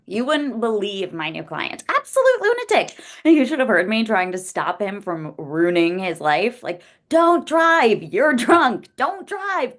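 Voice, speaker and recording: Female, highish and animated, an actress as a blunt, defiant young angel with heart, informal delivery for a screenplay read.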